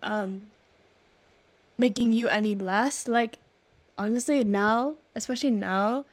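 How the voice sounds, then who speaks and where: A young woman from Nepal who grew up partly abroad, co-hosting a casual chat in a relaxed way. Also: fairly high pitch, takes it slowly. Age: young adult. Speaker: co-host.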